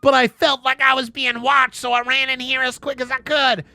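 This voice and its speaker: A man acts out a frightened young boy in a comic story reading. The voice is pitched very high and sounds bright and sharp, exclaiming in alarm. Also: flat delivery, moderate pace, gravelly, full character voice. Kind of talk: comic fan fiction reading.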